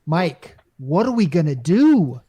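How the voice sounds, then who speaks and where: Casting: woman as hapless married everyman for radio comedy, hesitant and flustered, very animated but unhurried. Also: deep voice, breathy edge, heavily acted. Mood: worried, harried. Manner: stammering, hesitant. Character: flustered, henpecked married repairman Frank, a nervous everyman.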